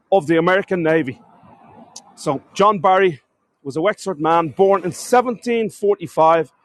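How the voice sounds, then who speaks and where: A man's voice: on the high side, dry recording. A man with a cold, sniffling as he gives a chatty live-streamed walking tour outdoors, talking to viewers and calling them folks. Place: outdoors in a town.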